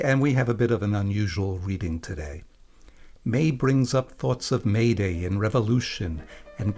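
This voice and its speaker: A man presenting and reading scripted narration on radio in a measured, explanatory manner. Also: on the low side.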